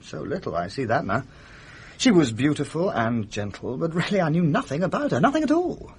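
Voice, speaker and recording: An actor playing a troubled, well-bred Englishman in a radio drama, polite, halting and defensive. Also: on the high side, sing-song, darkish tone, breathy edge, heavily acted, low-quality recording. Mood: shaken, hesitant.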